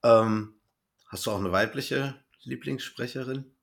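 A man's voice: medium pitch, a little slow, creaky. A man, hosting a podcast in a casual interview chat. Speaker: podcast host.

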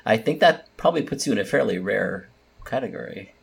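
A man's voice: lowish voice, animated, brisk, gravelly, breathy edge. A man from the United States chatting and bantering casually as a podcast co-host, telling anecdotes in an informal way. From American, spent part of boyhood in England.

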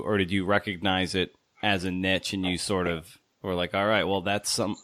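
Male podcast host, low register, dry sound. Conversational interviewer who winds thoughtfully through long questions. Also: fairly bright tone, no room sound.

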